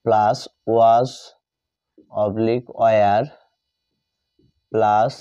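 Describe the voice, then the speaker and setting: A man's voice: fairly low pitch, little pitch movement, extremely slow, mellow, smooth, dry recording. A man with a Bengali accent teaches grammar, repeating phrases and spelling words out as he writes examples. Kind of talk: classroom grammar lesson, writing out examples while explaining. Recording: dull lo-fi recording.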